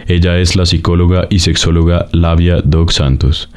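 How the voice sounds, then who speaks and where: A man's voice: low voice, steady tone, moderate pace, darkish tone. A man playing a suave, self-important, mischievous radio host with a Colombian accent, in mock presenting style. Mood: suave, teasing.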